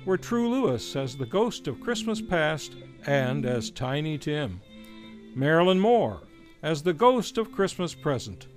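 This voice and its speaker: Man, radio show announcer, scripted credits, formal enunciation, animated intonation, soft music underneath. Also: on the high side, a little slow. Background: faint music.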